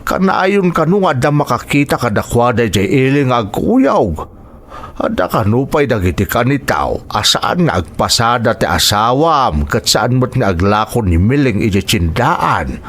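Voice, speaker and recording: A man's voice: medium pitch, very animated, measured pace, a bit bright, full character voice. A man with an Ilocano accent playing a gossipy older man in an audio drama, confiding and teasing with hinting interjections. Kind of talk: dramatized audio serial acting.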